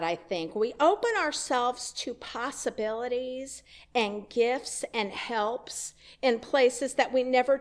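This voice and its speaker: Woman, minister, sermon, slow measured delivery, reflective tone. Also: on the high side. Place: church sanctuary.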